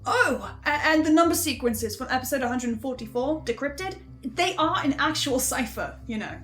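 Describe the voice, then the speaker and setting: High-voiced, hesitant young-sounding archivist played by a woman. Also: animated, a bit bright, faint music behind. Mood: musing, chatty. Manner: hesitant, halting, stammering. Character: hesitant, hungry marked archivist recording a podcast reaction.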